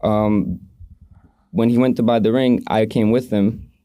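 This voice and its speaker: Low, very mellow male podcast guest. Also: steady tone, a little slow, dry recording, clean studio sound. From Israel, moved to Brooklyn at eight. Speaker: podcast guest, amateur basketball player. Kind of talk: casual interview answers.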